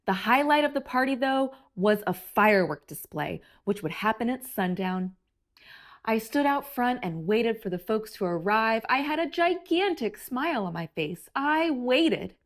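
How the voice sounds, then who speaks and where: A woman reading a picture book aloud for children with expressive, theatrical emphasis. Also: on the high side, a little slow. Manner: animated, theatrical emphasis for young listeners.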